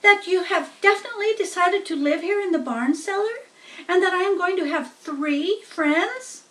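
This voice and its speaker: A woman voicing a gentle young male pig in a storybook, tenderhearted, with a polite, heartfelt and rather formal manner. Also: extremely high pitch, expressive, moderate pace, distant mic, pitched above the performer's own voice. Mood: hopeful, eager.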